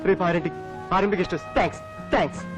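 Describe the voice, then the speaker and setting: A man's voice: high-pitched, racing, music in the background, close to an everyday voice. A man playing a brash, stubborn young bachelor who talks insistently in a film comedy. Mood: eager, pleading. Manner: insistent, repeats himself. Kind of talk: Telugu film comedy acting. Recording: dull film soundtrack.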